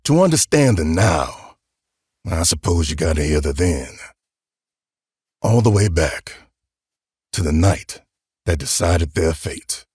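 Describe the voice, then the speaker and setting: Man acting a slow, deep, husky storyteller. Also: lively intonation, a little mellow, no echo, clean studio sound, exaggerated voice. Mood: reflective. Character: reflective male storyteller recalling a fateful tale. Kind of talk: scripted audio drama narration.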